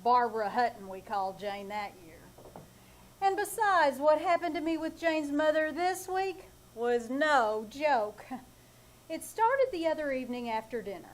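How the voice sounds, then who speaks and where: A woman's voice: high voice, big pitch swings, unhurried, poor audio. A woman playing a long-suffering, wisecracking husband in a live old-time radio comedy, with a dry, sardonic delivery. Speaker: actor playing Ace, the husband. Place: live theater stage.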